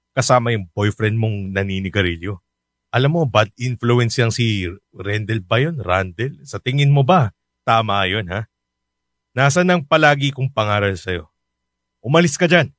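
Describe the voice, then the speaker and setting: A man's voice: medium pitch, fairly bright tone, dry recording, studio quality, close to an everyday voice. A man playing a stern overseas father. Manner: scolding, stern, exasperated. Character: worried strict father working abroad. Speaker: father on a long-distance call. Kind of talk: radio drama acting.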